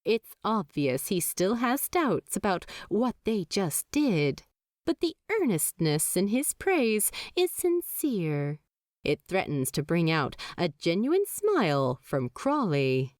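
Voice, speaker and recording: A woman narrating a fanfiction story in an expressive, measured storybook reading. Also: medium pitch, no echo, clean studio sound.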